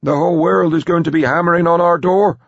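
High-pitched cantankerous old tycoon. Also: male voice, moderate pace, no echo, highs cut off. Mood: gleeful. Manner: blunt, emphatic, mildly coarse. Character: cantankerous, domineering old tycoon grandfather, sharp and sardonic.

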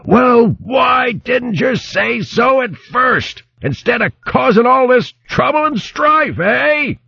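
A man's voice: very high voice, lively intonation, takes it slowly, vocal fry, sharp tone, slightly rough, no room sound, muffled recording, pitched above the performer's own voice, exaggerated voice. A man voicing a blustering, henpecked male hedgehog baron with rustic speech.

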